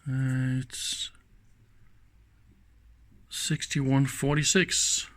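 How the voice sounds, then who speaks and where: A man presents an electronics teardown at a slow, unhurried pace. He talks casually and without a script, thinking out loud as he works and dropping in short exclamations. His voice sits at an average male pitch. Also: little pitch movement. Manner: musing aloud with short exclamations. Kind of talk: casual unscripted hands-on commentary.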